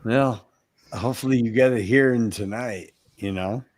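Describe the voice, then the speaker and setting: A man's voice: medium pitch, normal speed, darkish tone. A man with a Massachusetts accent hosting a casual voice chat, teasing and laughing often.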